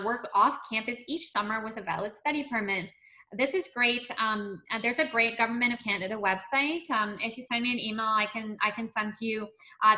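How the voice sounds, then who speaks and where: A woman's voice: fairly high pitch, pushed and bright. A young woman hosting a video-call Q&A panel, upbeat, with frequent fillers. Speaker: webinar host.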